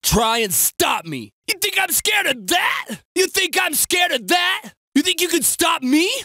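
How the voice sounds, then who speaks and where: A man voicing an excitable, sarcastic city bystander in a video game, with an exaggerated, wisecracking delivery. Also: very high voice, measured pace, no room sound, raised voice, studio quality, heavily acted. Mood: combative.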